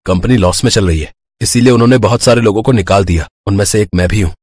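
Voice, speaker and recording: Man voicing a husky, fast-talking downcast young husband. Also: lowish voice, darkish tone, no echo. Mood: dejected. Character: downcast young husband and son, poor breadwinner.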